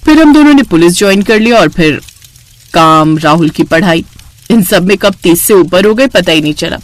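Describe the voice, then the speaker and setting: Elder-sister policewoman for story cartoon, played by a woman: fond, teasing, bossy, very animated delivery. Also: on the low side, moderate pace, no echo, voice pushed down, natural voice. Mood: reflective, fond.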